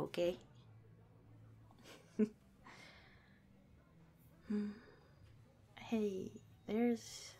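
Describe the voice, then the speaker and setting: A woman playing an affectionate, shy cop in a soft-spoken, intimate ASMR roleplay, gentle and hesitant with her partner. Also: mid-range voice. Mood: casual, cosy.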